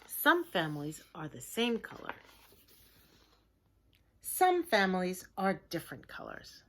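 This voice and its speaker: A woman reading a children's picture book aloud like a teacher, in a deliberate, expressive way, with hushed chatty asides to her listeners. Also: on the low side. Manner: slow, expressive, simple deliberate phrasing.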